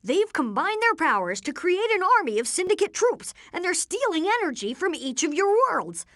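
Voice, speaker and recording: A woman voicing an earnest, brainy boy inventor who leads the team, speaking in precise, technical terms in an animated video game. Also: extremely high pitch, moderate pace, no echo, clean studio sound, heavily acted. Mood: explaining, confident. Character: boy genius inventor, earnest, brainy, take-charge young leader.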